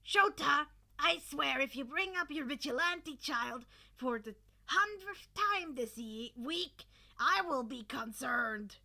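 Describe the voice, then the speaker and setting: A woman voicing an exuberant, teasing male teacher in a story, with an emphatic, excitable delivery. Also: high voice, takes it slowly, vocal fry, heavily acted. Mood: exasperated.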